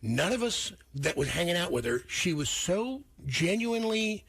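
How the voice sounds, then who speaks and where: A man's voice: sits high, sing-song, normal speed, gravelly, fairly bright tone, a little hiss or hum. A man hosting a radio morning show, bantering casually in a rambling, earnest, blunt way.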